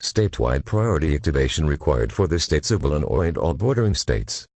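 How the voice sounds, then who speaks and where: A man voicing a grave emergency alert announcer, reading a formal doomsday bulletin in a flat official way. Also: a bass voice, brisk, exaggerated voice.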